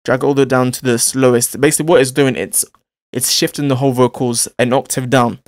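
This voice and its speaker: A man's voice: mid-range voice, fairly even intonation, fast, no echo, clean studio sound. A man hosting a music production tutorial, explaining steps informally and repeating phrases as he demonstrates. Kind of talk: casual software tutorial, step-by-step instruction.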